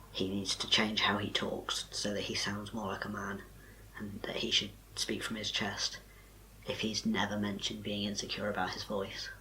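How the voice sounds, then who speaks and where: A young man, talking casually to camera in a soft, quiet, breathy voice with little power behind it. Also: fairly low pitch, slight background noise, rough recording. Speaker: vlogger.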